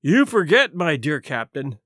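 Storybook role: meek-looking, sly down-and-out man, done by a male reader, quiet, dryly ironic, unhurried, with lilting high voice. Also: sing-song, no echo, studio quality, exaggerated voice. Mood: resigned. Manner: quiet, sighing, unhurried, wry formal wording. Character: shrunken shabby male vagrant, quiet, dry, sly companion.

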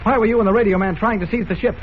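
A man's voice: high-pitched, fairly even intonation, rapid-fire, natural voice. An actor playing an earnest, plucky young male adventure hero in an old radio drama. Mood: insistent. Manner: earnest, clean-cut. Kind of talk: 1940s radio drama acting. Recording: muffled, noisy old radio recording.

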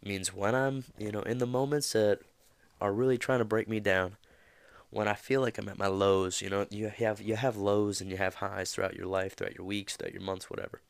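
Low-voiced, slightly nasal man with a cold. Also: little pitch movement, fairly quick, creaky, clean tone, dry recording. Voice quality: a little nasal. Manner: casual, rambling, many fillers. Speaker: podcast host. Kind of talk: motivational podcast monologue.

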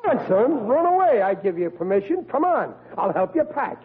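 High-pitched blustering sitcom dad. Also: male voice, sing-song, moderate pace. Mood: cocksure. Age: 40s. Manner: folksy, ungrammatical, blustering. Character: blustering, dim-witted forty-year-old working-class father, cocksure. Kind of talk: old-time radio situation comedy acting. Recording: tinny, hissy old radio broadcast.

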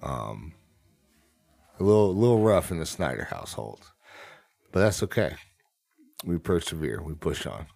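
A man's voice: extremely low pitch, sing-song, a little slow, creaky, pauses often, a little mellow. A young man co-hosting a casual podcast while sick, congested and sniffly, breathing heavily. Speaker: podcast co-host. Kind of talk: casual chat.

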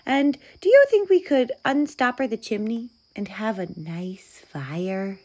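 A woman's voice: on the high side, lively intonation, measured pace, a little mellow. A woman voicing a small male storybook mouse, polite, apologetic and meekly persistent. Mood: gently pleading.

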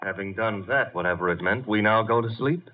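An actor playing a wisecracking, flippant detective's assistant in a 1950s radio drama. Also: male voice, lowish voice, fairly quick, close to an everyday voice. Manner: flippant, wry, snappy. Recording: dull, noisy old radio recording.

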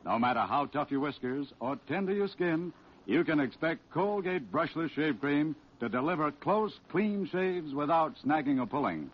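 A man's voice: fairly high pitch, animated, normal speed, breathy edge. A man announcing a 1940s radio commercial with an enthusiastic salesman's delivery. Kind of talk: 1940s radio advertising pitch. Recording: dull vintage 1940s radio recording.

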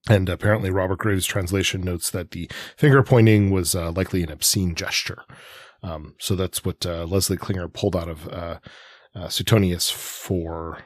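A man co-hosting a literary podcast, discussing and citing sources in a tentative, halting conversational way. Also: low-pitched, fairly even intonation. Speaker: podcast co-host.

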